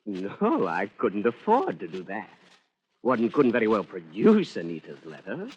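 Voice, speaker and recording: A man's voice: fairly low pitch, wildly swooping pitch, measured pace, husky, exaggerated voice. An actor playing an arrogant, flippant British man-about-town who is a killer in hiding, in 1940s radio drama style. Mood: defensive, callous. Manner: haughty, flippant, old-boy phrasing. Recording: dull lo-fi old radio recording.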